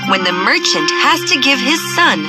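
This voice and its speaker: Female storybook narrator: fairly high, animated pitch, scripted read over loud music. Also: normal speed. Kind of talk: scripted fairy-tale narration.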